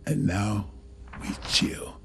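Very slow gloating gangster. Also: male voice, fairly bright tone, whispered. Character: gleeful, swaggering male gangster savouring schemes. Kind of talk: cinematic video game acting.

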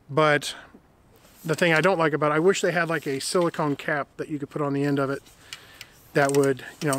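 A middle-aged man casually reviewing camping gear outdoors in a winter forest, explaining in a relaxed, rambling way that corrects itself as he goes. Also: a little high, slight background noise.

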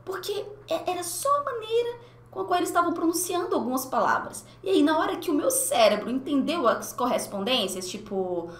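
A woman's voice: sits high, brisk, roomy sound. A young woman teaching French in a livestream, talking animatedly and mixing two languages, on a headset mic in a small room at home. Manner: animated, gestures a lot, mixes two languages. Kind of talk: livestream lesson, casual and expressive.

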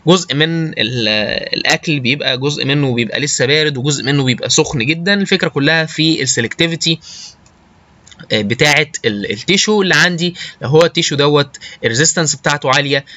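A man with an Egyptian Arabic accent giving an informal technical lecture, halting and often checking that listeners follow. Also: fairly high pitch, sharp tone, lo-fi sound. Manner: halting, often checks understanding with short tag questions. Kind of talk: informal slide lecture with technical English terms.

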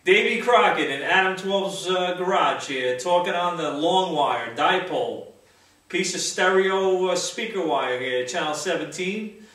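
A man's voice: high voice, lively intonation, measured pace, a bit bright. A man presenting a casual CB radio demonstration to a camera. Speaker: CB radio hobbyist presenting his video. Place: small bare wooden garage. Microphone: distant camera, handheld CB mic in hand. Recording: very echoey, distant camera audio.

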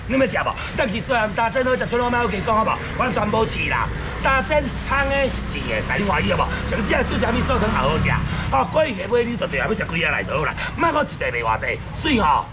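Casting: male Taiwanese street auctioneer, middle-aged, highish animated voice, loud insistent patter, very noisy outdoor market. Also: fast talker, few pauses. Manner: insistent, repetitive, loud calling out. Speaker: seafood auction street vendor. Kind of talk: market hawking, sales patter. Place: open-air market stall on a street. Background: market and vehicle noise.

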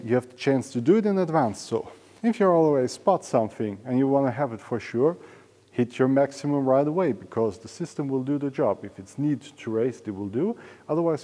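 Male presenter, forties, warm mellow tone, enthusiastic informal explainer, recorded in an echoing hall. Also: medium pitch, brisk. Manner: enthusiastic, informal, non-native English phrasing. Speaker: auction house presenter. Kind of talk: semi-scripted promotional explainer to camera. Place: large indoor auction hall and storage room. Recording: reverberant large hall.

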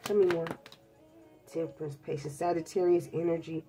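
A woman's voice: deep voice, steady tone, moderate pace, music in the background, rough recording. A woman with a stuffed-up cold, chatting bluntly and casually through a tarot reading, calling her viewers babies. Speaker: tarot reader. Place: indoors at a table covered in tarot decks.

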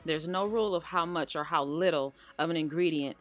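A woman talks viewers through her home cooking in a relaxed, friendly voice-over. Her voice sits on the low side, and she keeps a chatty, conversational flow. Faint music plays underneath. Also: brisk. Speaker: cooking channel host. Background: faint background music.

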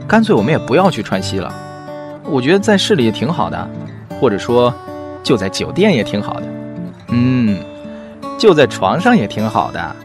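A devoted young boyfriend, played by a man in an audio drama. He is coaxing, eager and teasing, with very animated intonation. Faint music sits behind the voice. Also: mid-range voice, moderate pace, long pauses. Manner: coaxing, doting.

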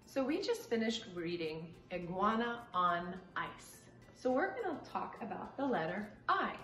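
A middle-aged woman preschool teacher reading and teaching to young children in a clearly enunciated, sing-song way, recorded in a room from a few feet away. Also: medium pitch, fairly bright tone, echoey room. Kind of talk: children's story reading and letter lesson. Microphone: camera a few feet away.

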